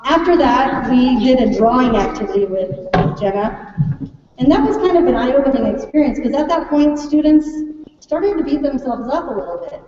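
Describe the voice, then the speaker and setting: A woman's voice: a little high, mellow. An American woman, a teacher, giving an informal, chatty welcome speech and prompting her listeners with questions. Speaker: third grade teacher presenting a class project. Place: large echoey room at a school event. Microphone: distant microphone. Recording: echoey hall, distant mic, muffled, faint noise.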